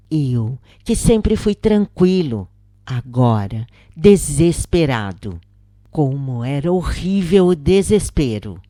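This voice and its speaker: A Brazilian woman reading a scripted account as a dramatic narrator, with solemn, theatrical, deliberate phrasing. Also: deep voice, warm tone, no echo, clean studio sound.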